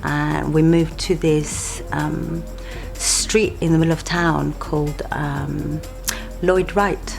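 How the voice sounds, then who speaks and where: A middle-aged woman from Chile, thoughtfully recalling her childhood in a documentary interview, with frequent pauses and ums. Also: low-pitched, little pitch movement, vocal fry, a little mellow, faint music behind. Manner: reflective, unhurried, frequent ums, light laughs. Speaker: artist interviewee. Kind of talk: documentary interview, personal recollection.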